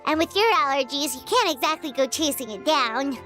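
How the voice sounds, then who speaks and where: Super squeaky little girl fairy mascot, game voice, cocky and chirpy, bouncing all over, music behind. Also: animated, brisk, pushed and bright. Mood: curious, puzzled. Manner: chirpy, boastful, refers to herself by name. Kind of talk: video game character acting.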